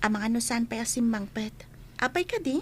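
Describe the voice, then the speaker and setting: An earnest young female student in a radio drama, performed by a woman. She has a high voice and an Ilocano accent, and talks in a questioning, chiding way as the sensible friend. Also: lively intonation, a little fast, a little mellow, slight background noise, natural voice.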